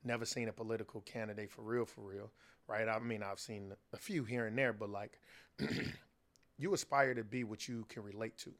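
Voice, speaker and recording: A man's voice: fairly low pitch, steady tone, moderate pace, a bit bright. A man from Chicago with an American accent, talking casually and conversationally on a podcast. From South Side of Chicago, United States.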